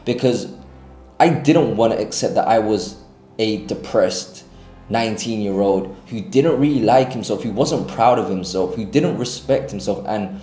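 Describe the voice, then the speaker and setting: Young London man, self-improvement vlogger, lowish warm voice, roomy echo, heartfelt unscripted delivery. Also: faint music behind. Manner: earnest, confessional, unhurried with long pauses. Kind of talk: unscripted personal story to camera.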